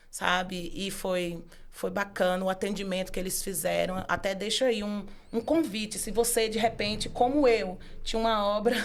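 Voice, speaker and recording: A woman speaking with a Northeastern Brazilian accent, a guest in a relaxed interview. She talks earnestly at an ordinary pitch, often stopping to restart a phrase before pushing her point through. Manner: earnest, with frequent hesitations and restarts. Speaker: guest, law professor and author. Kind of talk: casual interview chat.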